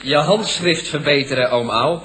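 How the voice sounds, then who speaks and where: An actor playing a cheeky young nephew with colloquial, ungrammatical speech in an old Dutch radio comedy. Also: male voice, fairly high pitch, normal speed, lightly acted, low-quality recording. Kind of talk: 1960s-70s Dutch radio comedy acting.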